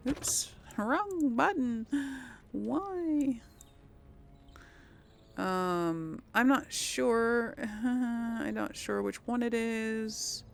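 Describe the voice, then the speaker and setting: A woman's voice: fairly high pitch, lively intonation, extremely slow, creaky. A woman giving casual video game commentary as a host, chatty and hesitant as she thinks aloud.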